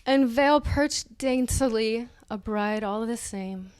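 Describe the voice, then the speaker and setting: A woman's voice: on the high side, slow pace, a little mellow, smooth. A woman co-hosting a podcast, giving a mocking dramatic reading of an article with casual asides, prone to laughing as she reads. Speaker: podcast co-host.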